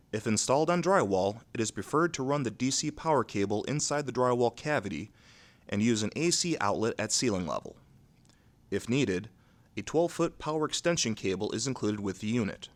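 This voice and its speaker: A man reads scripted instructional narration in a measured, neutral, methodical way, going step by step. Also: on the low side.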